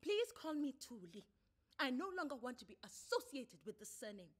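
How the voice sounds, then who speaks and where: Woman acting a fiery, scornful, high-voiced wife. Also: big pitch swings, moderate pace. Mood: furious. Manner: indignant, loud, accusing. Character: angry, scornful wife and mother, fiery, impatient. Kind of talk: dramatised short story reading.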